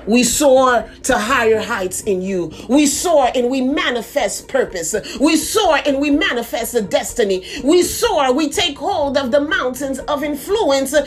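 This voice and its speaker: A woman's voice: high-pitched, lively intonation, measured pace, few pauses. Impassioned woman praying aloud. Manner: impassioned, declaiming with repeated refrains. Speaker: prayer leader. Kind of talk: fervent intercessory prayer.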